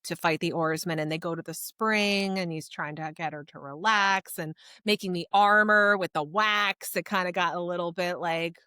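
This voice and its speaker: A woman co-hosting a podcast, talking casually about books in a measured, conversational way. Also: fairly low pitch, sharp tone, clean tone, dry recording, clean studio sound. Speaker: podcast co-host. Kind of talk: casual book review chat.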